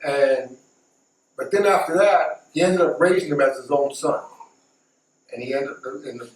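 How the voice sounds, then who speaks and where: Echoey, higher-voiced man telling a story. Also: moderate pace. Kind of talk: casual anecdotal storytelling. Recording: echoey, distant mic, faint noise.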